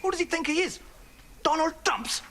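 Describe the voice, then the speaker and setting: A man's voice: very high-pitched, lively intonation, normal speed, very noisy. A middle-aged man with a Pakistani English accent, playing a pompous, blustering father in a sitcom, with nervous laughs. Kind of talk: sitcom acting.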